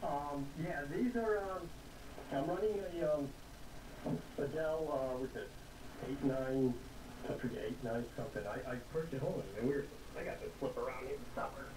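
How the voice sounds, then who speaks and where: Male amateur radio operator, average pitch, rambling and hesitant, roomy noisy recording. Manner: halting, rambling. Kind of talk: casual ham radio chat.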